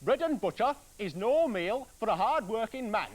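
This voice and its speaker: Brief: man playing a grumbling storybook woodcutter, quick to shout, very animated. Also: high voice, voice pushed up. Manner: blustering, complaining. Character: hot-tempered poor woodcutter, middle-aged man.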